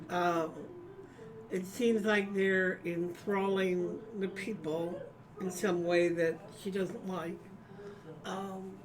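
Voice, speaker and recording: A woman playing a tabletop game, talking in a casual, hesitant way with many ums and uhs. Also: medium pitch. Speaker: player. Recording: distant from the mic.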